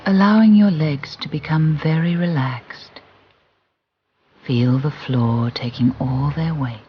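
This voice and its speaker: A soothing, gentle voice guiding a relaxation and visualisation exercise, deliberate and with long pauses. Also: medium pitch, a little hiss or hum. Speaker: guided relaxation instructor. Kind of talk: guided visualisation narration. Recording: muffled recording with music behind. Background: background music.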